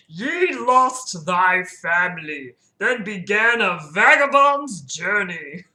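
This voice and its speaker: A woman voicing an ancient tree spirit who speaks slowly and ceremoniously in archaic phrases with a Southern US accent. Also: medium pitch, big pitch swings, sharp tone, exaggerated voice. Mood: solemn, grand. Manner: slow, ceremonious, archaic speech. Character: great ancient tree spirit, slow and solemn. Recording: roomy, echoing indoor sound.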